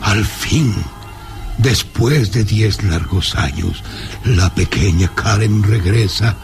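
An aging castle baron from a gothic radio serial, very low in pitch and unhurried. He is grave, theatrical and imperious, brooding over every phrase, with prominent music behind him. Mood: solemn, mournful. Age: older. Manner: slow, grave, theatrical, commanding. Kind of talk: radio drama acting. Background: dramatic music.

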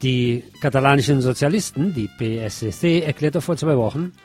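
A man's voice: mid-range voice, moderate pace, a little mellow, soft background music. A man hosting a radio news programme, reading reports and adding his own commentary. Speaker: radio host.

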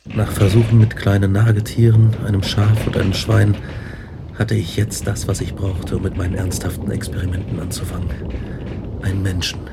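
An actor playing an obsessed young male scientist, grave and deliberate, in a German radio drama. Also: low voice, flat delivery, gravelly, very noisy. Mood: grave, confessional. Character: Victor Frankenstein, obsessed young male scientist recounting his horrors.

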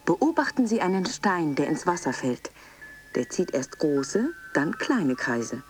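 Low-voiced motivational coach. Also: female voice, big pitch swings, a little mellow, soft background music. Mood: soothing, instructive. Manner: measured, instructional. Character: soothing female motivational fitness coach. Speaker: actress in a radio drama. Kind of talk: scripted guided relaxation and coaching slogans.

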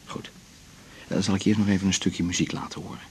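An actor playing a gentle, dreamy man in a radio drama, coaxing and unhurried. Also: low voice, gravelly, slightly rough, exaggerated voice. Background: music.

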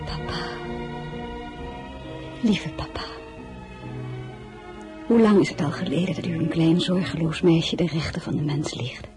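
An actress playing a shrewd, spirited merchant's daughter with a firm, polite shop manner in a radio drama. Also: female voice, deep voice, music in the background. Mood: wistful. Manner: brisk, firm, polite saleswoman.

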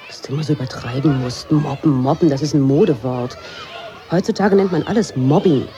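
An actress playing a self-assured, dismissive schoolteacher with a brusque, matter-of-fact way, in a radio drama. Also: female voice, low voice, brisk, dark tone, some chatter behind, lightly acted. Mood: dismissive, irritated.

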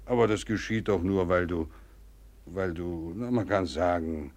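A husky, rambling old general. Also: male voice, deep voice, measured pace, darkish tone. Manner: confiding, rambling, fatherly. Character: fatherly older male general, confiding and fussy. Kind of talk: 1950s radio drama acting.

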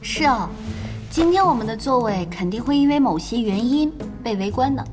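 An actress playing a calm, perceptive young woman in an audio drama, speaking in a measured, thoughtful way. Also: on the high side, natural voice. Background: background music.

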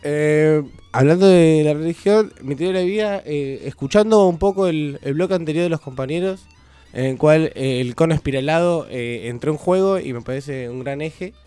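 A male guest with a Rioplatense accent talks casually on the radio in a fairly high voice. He thinks aloud, pausing and hesitating as he builds his answers. Quiet music runs behind him. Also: smooth, no room sound. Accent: Rioplatense Spanish. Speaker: interview guest.

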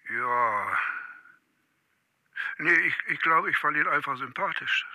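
A man's voice: a little high, slow, pushed and bright, no echo, heavily acted. A man playing a hesitant, easily embarrassed witness in a naturalistic radio crime drama, rambling and adding little questioning tags. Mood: eager, curious. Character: hesitant, embarrassed, eager-to-help male witness phoning police.

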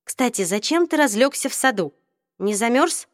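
A woman voicing a lively teenage girl. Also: a little high, moderate pace, no room sound, studio quality, close to an everyday voice. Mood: light. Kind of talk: audiobook reading.

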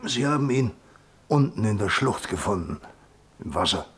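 An actor playing a sober, matter-of-fact country doctor in a German radio play, giving grave news in terse, plain statements. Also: male voice, lowish voice, sing-song, moderate pace. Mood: grave, brisk.

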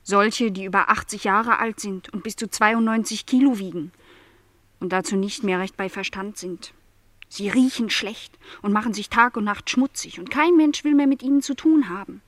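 A woman acts a young housemaid in a radio drama. She is lonely and quietly bitter, choosing her words deliberately and stubbornly holding to her lot. The sound is dry, with hardly any room around the voice. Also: medium pitch, dry recording, close to an everyday voice. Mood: bitter, insistent. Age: young adult. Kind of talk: radio play dialogue.

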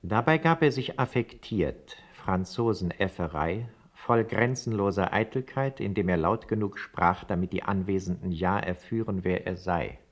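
A man's voice: low-pitched, moderate pace, hardly any pauses. An actor playing a scheming secret informer, a man delivering a formal report with disdain in a radio play. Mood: contemptuous.

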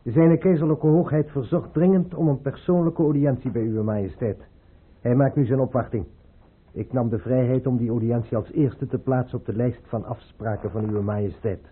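An actor playing a cautious, discreet court chamberlain in a Dutch radio play, formal and measured in his speech. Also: medium pitch, steady tone, slightly rough, close to an everyday voice. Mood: formal, deferential. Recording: muffled old radio play recording.

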